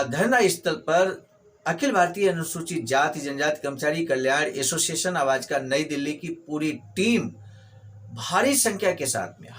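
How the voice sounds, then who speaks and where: A man's voice: fairly high pitch, slight background noise. Formal male news-style narrator. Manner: formal, announcing, steady reportage. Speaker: channel presenter. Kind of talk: news-style voice-over introduction.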